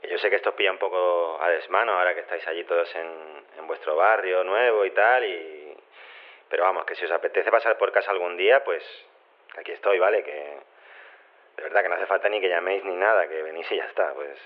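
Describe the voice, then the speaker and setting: Muffled phone line; male actor as lonely, self-effacing scientist, low voice, rambling and halting, audio fiction. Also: close to an everyday voice. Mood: lonely, resigned. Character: lonely, hesitant male cloning scientist, meekly pleading for company.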